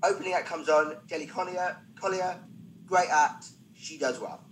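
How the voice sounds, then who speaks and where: A man's voice: a little high, fairly even intonation, moderate pace, slight background noise, low-quality recording. A man speaking as a podcast guest, a stand-up comedian telling anecdotes conversationally with self-deprecating, wry asides.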